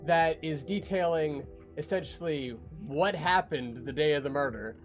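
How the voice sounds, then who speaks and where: Male streamer, lightish pitch, casual walkthrough delivery, animated and quick to laugh, music bed. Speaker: creator on a behind-the-scenes livestream.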